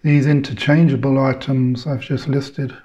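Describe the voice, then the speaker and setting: Slow, mellow male meditation guide. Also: medium pitch, flat delivery, lo-fi sound. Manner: measured short phrases with long pauses.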